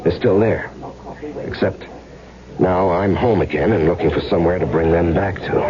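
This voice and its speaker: A man's voice: deep voice, normal speed, crowd noise, close to an everyday voice. An American man acting in a 1970s radio drama as an earnest, pressing husband searching for his missing wife. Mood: wistful. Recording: dull old radio recording, loud music.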